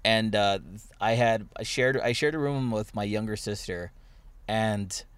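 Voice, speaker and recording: Young male podcast host, low voice, dry close mic, loose rambling storyteller. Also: a little slow, clear, resonant tone, dry recording. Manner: rambling storytelling, many restarts and fillers. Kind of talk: casual chat.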